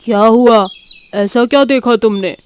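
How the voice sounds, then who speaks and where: A woman voicing a grumbling, hungry lion king in an animated moral story, with drawn-out, sulky delivery. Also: fairly high pitch, clear, resonant tone, close to an everyday voice.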